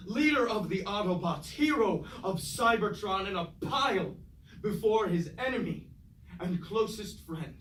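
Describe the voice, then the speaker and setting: Casting: male actor as anguished robot warrior, tragic stage style, measured pace, distant echoing recording. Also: sits high, a bit bright. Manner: declamatory, archaic poetic phrasing. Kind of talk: staged reading of mock Greek tragedy. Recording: distant roomy stage recording, faint noise.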